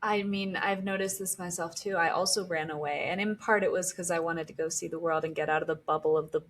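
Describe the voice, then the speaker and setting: A woman's voice: on the low side, fairly even intonation, brisk. Reflective young woman podcast host. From Costa Rica. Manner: reflective, warm and curious. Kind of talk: podcast interview.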